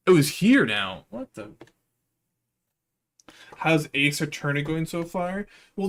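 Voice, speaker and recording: A man's voice: medium pitch, a bit bright, slight background noise. A man giving casual, slangy gaming livestream commentary, swearing and chatting to his viewers. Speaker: live streamer.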